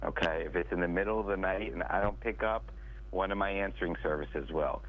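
Deep-voiced congested man on a phone line. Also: brisk. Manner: salesy, direct address to listeners. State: congested, stuffed up. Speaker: attorney guest. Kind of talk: phone-in radio pitch.

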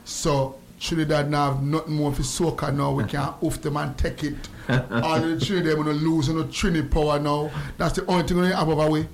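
A man's voice: on the high side, flat delivery, fairly quick, gravelly, a little hiss or hum. A man joking and boasting in playful banter. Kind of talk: comic banter.

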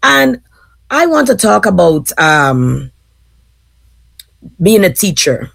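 A woman in her forties from Guyana with a Guyanese accent, a teacher speaking thoughtfully as a panel guest, pausing often. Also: medium pitch, very animated, creaky, fairly bright tone, no echo. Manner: thoughtful, halting with fillers. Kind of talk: casual panel discussion.

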